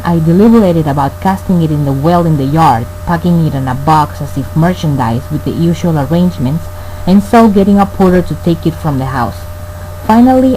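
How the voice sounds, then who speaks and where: A man playing a brooding murderer who narrates his crime in a radio play, formal and deliberate. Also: fairly high pitch, dark tone, natural voice, poor audio. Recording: faint hum and noise.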